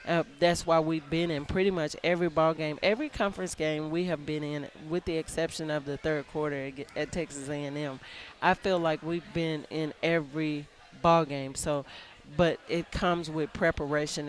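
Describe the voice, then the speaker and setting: A woman basketball coach in a live radio interview, soft-spoken and earnest, often restarting her sentences. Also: low voice, fairly even intonation, normal speed.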